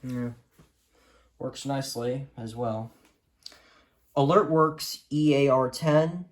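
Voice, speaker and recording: A man speaks in an ordinary mid-range voice, casually presenting things he owns. He is low-key and offhand, making it up as he goes like a home vlogger. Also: slow pace. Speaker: vlogger showing a weather radio collection. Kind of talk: casual unscripted show-and-tell. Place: indoors, rooms at home.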